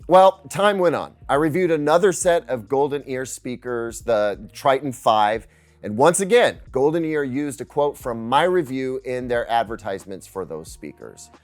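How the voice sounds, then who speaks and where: A middle-aged American man presents a personal scripted story as a reviewer, earnest and confiding, with dramatic pauses. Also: mid-range voice. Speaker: tech and speaker reviewer, video host.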